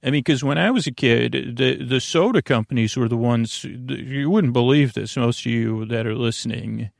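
A middle-aged American man speaks in a creaky, gravelly voice at an ordinary male pitch. He meanders through a bedtime podcast monologue, halting, repeating words and drifting off mid-thought. The sound is dry, with no room echo. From United States. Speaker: podcast host.

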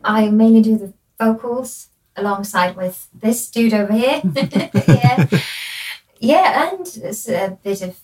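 A Finnish woman speaking English, a singer guest in a casual interview, soft and modest. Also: mid-range voice, expressive, takes it slowly, distant mic, poor audio. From Finland.

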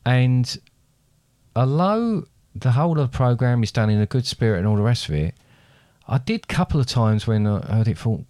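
A man's voice: mid-range voice, animated, moderate pace, dark tone, no echo, studio quality. A man co-hosting a podcast, chatting casually, rambling and restarting his sentences. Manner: rambling, hesitant, with many restarts. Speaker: podcast co-host.

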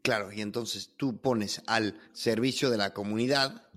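A man's voice: fairly low pitch, unhurried, a bit bright. A man hosting an interview, asking curious questions and cutting in playfully.

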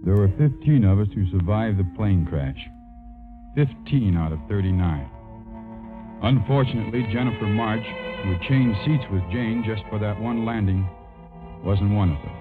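An actor playing a sincere, plainspoken airline pilot in a 1950s radio drama. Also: male voice, fairly low pitch, normal speed, over music, close to an everyday voice. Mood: somber narration. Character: earnest, lovestruck male airline co-pilot narrating. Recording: phone-like vintage radio broadcast.

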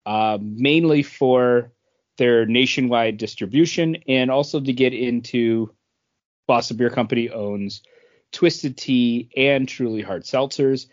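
A man with a mid-pitched voice talks through news as a podcast co-host. His delivery is casual and halting, restarting as he goes. The recording sounds dull and lo-fi. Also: dry recording. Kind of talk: casual chat explaining beer industry news.

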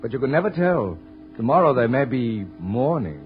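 An actor playing a suave, wry detective in 1940s radio drama style. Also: male voice, medium pitch, very animated, moderate pace, music in the background, natural voice. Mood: breezy, wry. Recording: muffled old radio recording.

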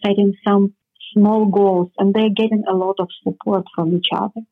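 A woman with a Ukrainian accent, a native Ukrainian speaker, answering interview questions earnestly in slightly halting phrasing over the phone. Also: mid-range voice, level tone, husky. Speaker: interview guest, foundation representative. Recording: phone line.

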